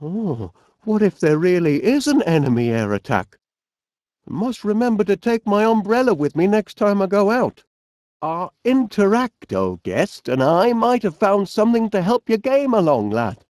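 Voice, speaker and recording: A man's voice: high-pitched, big pitch swings, normal speed, darkish tone, no echo, studio quality. A man voicing a cheerful, fussy middle-aged inventor with a Northern English accent in a video game, genial and bumbling. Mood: genial, musing. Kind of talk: animated video game character voice lines.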